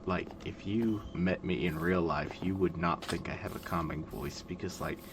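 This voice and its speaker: A man in his twenties giving calm, monotone, rambling gameplay commentary, full of fillers. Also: low voice, normal speed. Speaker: gaming video creator. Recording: loud background noise.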